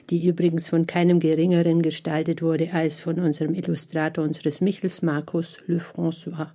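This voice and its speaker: Woman, interviewer, formal, explanatory, lowish warm voice. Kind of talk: prepared interview questions.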